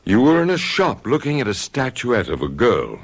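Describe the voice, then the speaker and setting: An actor playing a calm doctor who questions a hypnotized patient in a radio drama. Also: male voice, mid-range voice, moderate pace. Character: calm probing male doctor.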